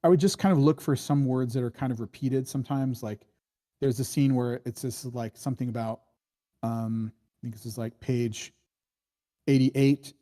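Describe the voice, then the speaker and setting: A man's voice: mid-range voice, steady tone, moderate pace, mellow. A man giving casual spoken feedback on a screenplay, rambling and hedging, with many false starts as he thinks aloud. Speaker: screenwriting workshop critic giving script notes.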